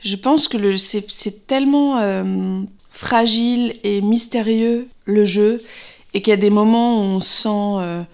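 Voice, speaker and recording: A woman's voice: mid-range voice, a little slow, darkish tone, smooth. A woman in her thirties, an actress answering interview questions, pregnant, speaking hesitantly with many fillers and restarts.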